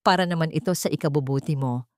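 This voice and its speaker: Woman voicing a sharp, domineering older mother-in-law. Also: low-pitched, fast, no echo, clean studio sound, natural voice. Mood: bossy, falsely caring. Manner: sharp, brazen, commanding. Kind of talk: audio storybook character dialogue.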